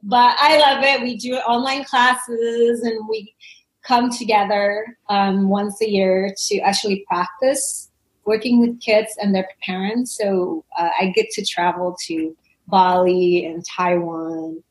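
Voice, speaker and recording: A woman's voice: mid-range voice, steady tone, takes it slowly. Guest voice: woman in her forties from Indonesia, chatty and laughing, recorded in a reverberant room. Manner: chatty, warm, laughs often, many restarts. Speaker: parent coach, guest. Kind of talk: casual interview answers.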